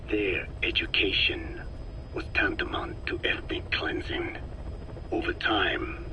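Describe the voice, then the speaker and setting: An actor playing a very old Navajo scientist from the American Southwest who speaks slowly and solemnly, recorded as a game cassette tape with hiss. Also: male voice, rough recording. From Navajo Nation, American Southwest. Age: older. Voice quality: low, slow, measured and solemn, often breathy.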